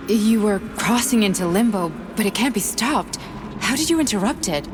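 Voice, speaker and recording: A woman playing a stern, bitter, coolly clinical neurosurgeon in a video game, speaking gravely and pointedly. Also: medium pitch, expressive, moderate pace, in a large hall, music in the background, natural voice. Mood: clinical, concerned.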